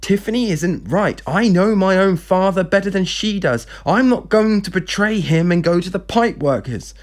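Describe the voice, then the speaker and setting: Man acting as grown daughter, storybook reading, higher pitch, defiant, indignant, insistent. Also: huge pitch swings, brisk, slightly rough, exaggerated voice. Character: Catherine, defiant frustrated grown daughter of a leader.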